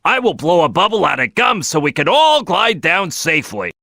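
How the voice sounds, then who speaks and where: Brash crocodile team boss for a game: gruff, boisterous, forceful, swooping delivery, very dry recording. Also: male voice, a little high, huge pitch swings, normal speed, dry recording, full character voice. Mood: instructing, confident. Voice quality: gruff, gravelly. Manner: loud, boisterous, bossy. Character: big brash male crocodile detective, gruff team leader. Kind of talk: video game character acting.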